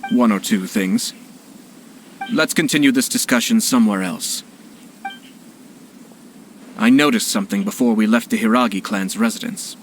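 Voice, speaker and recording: A man voicing a shrewd, courteous young nobleman in a video game, measured and formal. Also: fairly low pitch, slight background noise, close to an everyday voice. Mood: calm, reflective.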